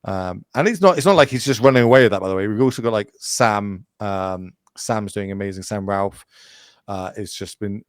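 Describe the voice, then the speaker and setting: A man's voice: fairly low pitch, normal speed, clear, resonant tone, no room sound, studio quality. Rambling man in his thirties. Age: 30s. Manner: rambling, goes off on tangents, many fillers and restarts. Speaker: podcast guest. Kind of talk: casual chat.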